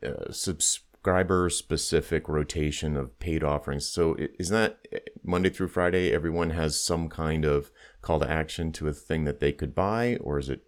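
A man's voice: rumbling low, moderate pace, few pauses. A man interviewing someone in a conversational style, putting questions as the host.

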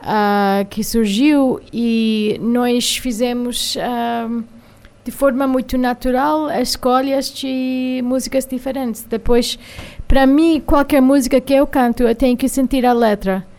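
Woman, American accent, radio studio guest, hesitant, smooth clear voice. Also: on the high side, steady tone, slow, darkish tone. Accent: American English. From United States. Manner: hesitant, many filler sounds while finding words. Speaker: guest singer. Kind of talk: radio interview.

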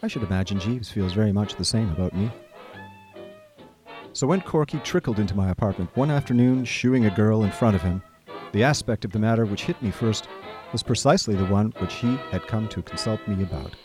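A man playing a genial, idle young gentleman who narrates his own story in a radio comedy. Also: fairly low pitch, lively intonation, brisk, over music, lightly acted. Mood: wry storytelling. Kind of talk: scripted first-person narration in a radio comedy.

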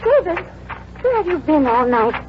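A woman's voice: very high voice, sing-song, normal speed. A woman playing a worried, principled farm wife with an earnest manner, in 1940s radio drama style. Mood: puzzled, concerned. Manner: earnest, pleading. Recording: muffled, noisy old radio broadcast.

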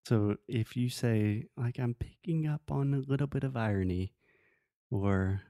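A man's voice: medium pitch, unhurried, mellow, dry recording, studio quality. A man who is a native English speaker, teaching in a casual conversational way with everyday examples and checking that the listener follows. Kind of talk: casual conversational language lesson.

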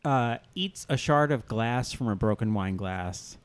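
A man speaks as a podcast host in a casual, comedic register. His delivery is conversational, loosely strung together with frequent "like"s, at a middling pitch. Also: lively intonation, unhurried. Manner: conversational, peppered with "like". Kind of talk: casual comedic chat recapping a music video.

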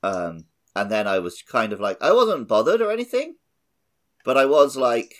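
A man's voice: mid-range voice, very animated, moderate pace. A man co-hosting a casual gaming podcast, jokey and given to acting out imagined quotes. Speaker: podcast co-host.

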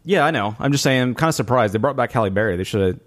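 A man's voice: mid-range voice, expressive, rapid-fire. Man in casual podcast chat. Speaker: podcast co-host reviewing a film.

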